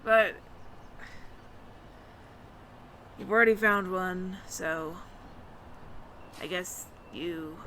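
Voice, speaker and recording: A woman's voice: on the low side, slow, bright, forceful tone, slight background noise. A woman playing a nervous, evasive alien roommate in an audio roleplay, stammering and over-explaining to the listener. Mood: resigned, reluctant.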